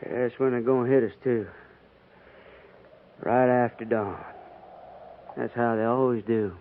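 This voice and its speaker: A thin, slightly noisy old radio broadcast carries an actor as a disgruntled frontier soldier. He is a man with a mid-pitched voice and a rural American drawl, griping in a folksy way. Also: fairly even intonation, a little slow, natural voice. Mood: grim, resigned. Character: bitter, grumbling frontier cavalry private, insubordinate. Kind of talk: 1950s radio drama acting. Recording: tinny, band-limited, noisy 1950s radio recording.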